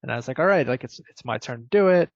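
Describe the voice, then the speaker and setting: Gravelly man casually telling a story. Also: medium pitch, animated, fast talker, no echo. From Ukraine. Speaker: program participant telling his story. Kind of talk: casual personal storytelling on a group call. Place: video call. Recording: dull, close, dry video-call audio.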